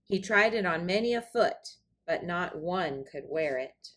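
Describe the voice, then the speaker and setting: A woman narrating a children's storybook aloud in an expressive read-aloud style. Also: lowish voice, a little slow. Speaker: storybook reader.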